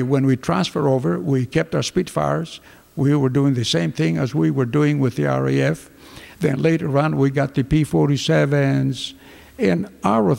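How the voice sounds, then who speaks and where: An older man with a Greek accent, telling his wartime memories in a lively storytelling manner, acting out the dialogue. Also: mid-range voice, gravelly, long reverb tail. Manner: lively storyteller, acts out dialogue with hand gestures. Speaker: veteran fighter pilot. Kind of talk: recounting war memories. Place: office with flags, indoors. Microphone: desk microphones in front.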